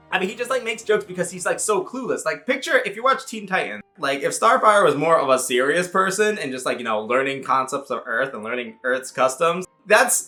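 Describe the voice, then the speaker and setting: A man giving a casual, rambling movie review in an animated, casually sweary way, as an online reviewer. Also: on the high side. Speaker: YouTube game and film reviewer.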